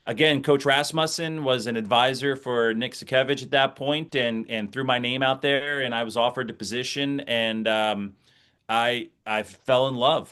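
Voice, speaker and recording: A man's voice: medium pitch, a bit bright, clean tone. A middle-aged American man, a sports announcer, speaking conversationally as an interview guest and reflecting through anecdotes.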